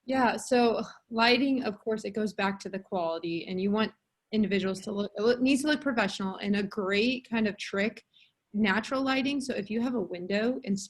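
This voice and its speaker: A woman co-hosting a webinar on a video call, giving practical tips in a chatty way. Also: medium pitch. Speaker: webinar co-host. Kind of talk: casual tips talk.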